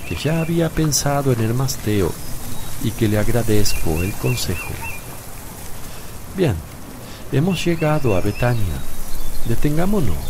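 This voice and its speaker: An actor playing a calm, wise male teacher with gentle authority in a solemn religious radio play, speaking in a measured way. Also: on the low side, noisy background, exaggerated voice. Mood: calm, reassuring. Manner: measured, deliberate. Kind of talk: slow, solemn radio drama acting.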